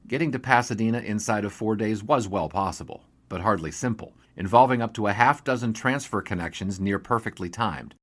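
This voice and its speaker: An actor playing an earnest, wordy sportswriter, narrating a radio drama in a formal, literary, wry way. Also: male voice, fairly low pitch, a bit bright, slightly rough. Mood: hesitant, pragmatic. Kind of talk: scripted first-person radio drama narration.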